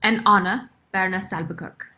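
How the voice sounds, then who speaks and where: Woman acting a bright-voiced, dignified older nursemaid. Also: mid-range voice, steady tone, fast, close to an everyday voice. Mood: respectful. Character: dignified older Indian nursemaid, devoted and reproachful. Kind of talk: radio drama acting.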